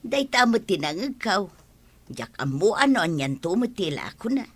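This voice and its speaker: A woman's voice: medium pitch, very animated, moderate pace. A woman with an Ilocano accent playing a talkative, good-natured village woman in a radio comedy drama. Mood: gossipy.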